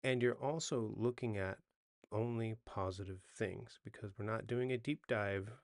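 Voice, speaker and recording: A man's voice: on the low side, normal speed, dry recording, studio quality. A man in his forties giving sleep advice off the cuff, talking conversationally, correcting himself and often checking in with the listener. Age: 40s. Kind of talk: unscripted how-to talk to camera.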